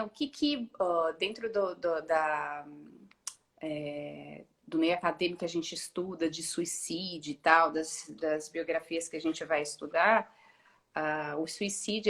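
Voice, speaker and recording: A woman's voice: on the low side, fairly bright tone. Reflective woman, hesitant live-chat guest. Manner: reflective, many hesitations and restarts. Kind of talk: casual live-stream conversation.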